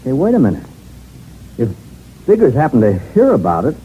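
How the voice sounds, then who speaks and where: Fast-talking man on vintage radio. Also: medium pitch, huge pitch swings, mellow. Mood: insistent. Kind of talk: old-time radio drama acting. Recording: narrow-band old radio, faint noise.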